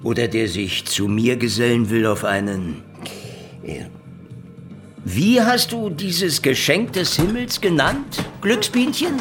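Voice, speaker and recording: An actor playing a cynical, sardonic, world-weary private detective in a radio play. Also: male voice, mid-range voice, lively intonation, slow. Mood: taunting, sardonic. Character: cynical, hard-boiled male private detective, tough and sardonic. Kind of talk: hard-boiled radio play narration and dialogue. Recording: music behind the voice.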